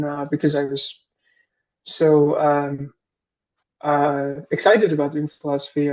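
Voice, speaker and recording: A man's voice: on the high side, darkish tone, poor audio. A man in his thirties, from Singapore, answering interview questions as a guest professor, hesitant, with many fillers and restarts.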